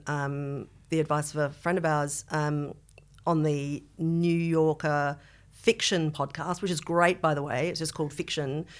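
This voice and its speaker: A middle-aged woman with a low-pitched voice. She talks as a podcast co-host in serious conversation, circling through asides and qualifications as she goes. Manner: discursive, qualifying herself with asides.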